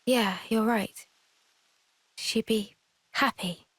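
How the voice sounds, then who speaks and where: A woman playing an earnest, sensitive young soldier in a fantasy game drama, speaking softly and hesitantly. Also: fairly high pitch, fairly even intonation, drawn-out words, no echo, heavily acted. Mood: reflective. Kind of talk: dramatic game cutscene acting.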